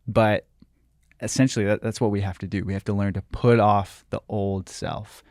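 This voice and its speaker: Young man, low voice, dry sound. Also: little pitch movement, moderate pace, a little mellow, no room sound. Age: 20s. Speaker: podcast co-host.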